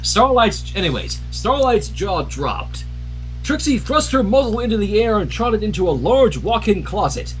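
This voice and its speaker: An expressive, informal livestream reader reading fan fiction aloud with casual reactions. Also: medium pitch, normal speed, faint music behind, poor audio.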